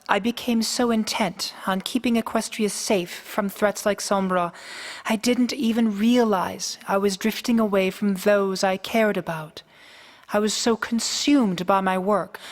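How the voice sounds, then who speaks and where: An ancient pony princess, acted by a woman, regal and solemn. She speaks in measured, formal phrases in a middle register, as in a fan-made radio play. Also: mid-range voice, close to an everyday voice. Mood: solemn, remorseful. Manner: formal, measured, grave diction.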